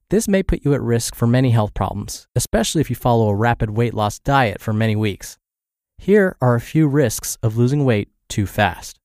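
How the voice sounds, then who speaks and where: A man hosting a podcast, reading in a measured, explanatory narration with a slightly congested, scratchy voice from a cold. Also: medium pitch, a little mellow, no echo, studio quality. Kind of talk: scripted podcast narration, reading an article aloud.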